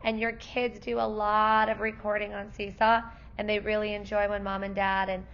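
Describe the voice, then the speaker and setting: Teacher lady losing her voice, kinda gravelly and worn, friendly explaining to parents, a bit lo-fi. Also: medium pitch, completely flat delivery, moderate pace, a bit bright, slight background noise. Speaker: kindergarten teacher. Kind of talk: parent night presentation.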